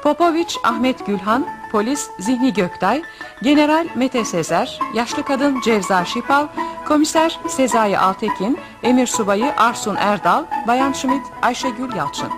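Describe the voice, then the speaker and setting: A woman's voice: on the high side, lively intonation, measured pace. A woman announcer reading the credits of a radio play in a formal scripted style. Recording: radio broadcast with music behind.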